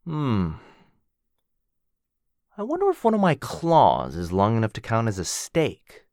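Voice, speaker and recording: A man's voice: mid-range voice, huge pitch swings, measured pace, gravelly, darkish tone, no echo, studio quality, exaggerated voice. A man voicing a cocky, jealous werewolf who mocks his rival and teases with a lot of bounce. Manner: cocky, mocking, bouncy teasing. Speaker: voice actor in boyfriend roleplay. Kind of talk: ASMR roleplay acting.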